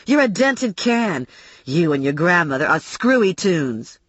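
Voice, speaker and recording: A woman's voice: fairly low pitch, sing-song, unhurried, no echo, muffled recording. A woman voicing an indignant, spiteful female rival in an audiobook, accusing and scornful.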